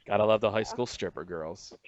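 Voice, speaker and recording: A man's voice: lowish voice, fairly quick, a little mellow. A man hosting a radio interview in a casual, jokey, slangy and crude way. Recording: muffled roomy lo-fi radio interview audio.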